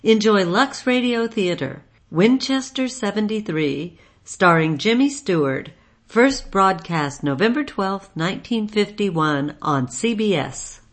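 A woman's voice: medium pitch, lively intonation, measured pace. A woman presenting a radio rebroadcast in a scripted promotional style.

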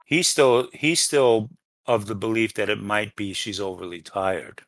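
A man co-hosting a casual book discussion, speaking in a halting, questioning way and often repeating himself. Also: lowish voice, steady tone, a bit bright, no echo. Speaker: podcast co-host.

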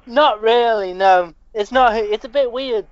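A man trades dry, teasing remarks and quick asides in a casual comedy chat. His voice is muffled and slightly dull in the recording. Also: very high-pitched, smooth, dry recording. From Liverpool, England.

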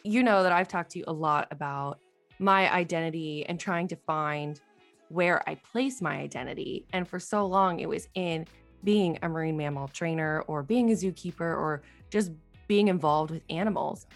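A young woman hosts a relaxed podcast conversation, eager and encouraging as she sets up questions for her guest. Her voice is a touch lower than most women's, with soft music in the background. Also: normal speed. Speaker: podcast host. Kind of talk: casual chat.

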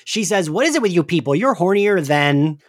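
A man co-hosting a casual recap chat, talking in an animated, conversational way with the odd swear word. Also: sits high, brisk, no echo, studio quality. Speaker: podcast co-host.

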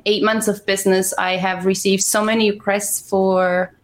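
A woman's voice: medium pitch, flat delivery, moderate pace. Chatty Romanian-accented woman in her forties. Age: 40s. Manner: chatty storytelling with frequent laughs. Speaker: guest. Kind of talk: casual conversation.

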